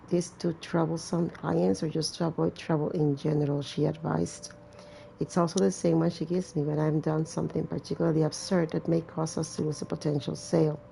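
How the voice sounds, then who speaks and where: Gamer girl reading a story game out loud, low creaky voice, irreverent asides, bit of noise. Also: rough recording. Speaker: gamer reading a visual novel aloud. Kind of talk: let's-play reading with casual commentary.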